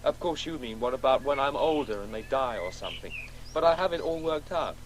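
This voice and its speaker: An actor playing a precocious schoolboy in a radio play, earnest, confident and articulate. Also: male voice, mid-range voice, animated, brisk, a little hiss or hum, natural voice, rough recording. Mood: confident, insistent. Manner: articulate, insistent, eager. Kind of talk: naturalistic radio play dialogue.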